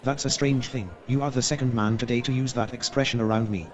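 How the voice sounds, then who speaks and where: A man's voice: medium pitch, fairly even intonation, fast talker, muffled recording, lightly acted. An actor playing a friendly, chatty young Victorian man in a comic staged radio play. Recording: crowd murmur behind the voice.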